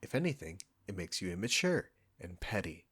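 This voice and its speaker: Deep-voiced, irritable male chef, acted by a man. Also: very animated, fairly quick, fairly bright tone, husky, exaggerated voice. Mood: exasperated, scolding. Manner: condescending, combative, sarcastic, swearing. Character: proud, defensive, irritable male restaurant chef. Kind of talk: scripted audio roleplay addressed to the listener.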